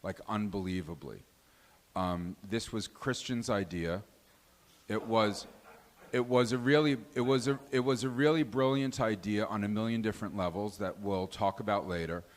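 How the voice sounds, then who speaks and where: A man's voice: lowish voice, fairly even intonation, moderate pace. A man hosting and introducing performers at a live stage show. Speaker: host and performer introducing the cast. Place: live venue stage with audience.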